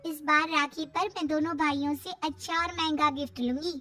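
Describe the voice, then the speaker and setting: Woman as a plaintive, very high-voiced little sister. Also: steady tone, normal speed, pushed and bright, soft background music. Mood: eager, stubborn. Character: indignant young sister, plaintive and stubborn.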